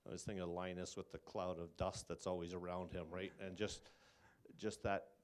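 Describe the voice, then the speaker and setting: A man's voice: sits low, little pitch movement, moderate pace, a little hiss or hum. A man co-hosting a casual faith talk, speaking thoughtfully and searching for his words.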